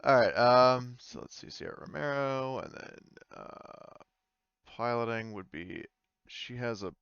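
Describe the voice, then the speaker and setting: A man acting as game master, casually narrating a tabletop game and often pausing to think aloud. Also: mid-range voice, a bit bright, clear, resonant tone, dry recording, dull audio.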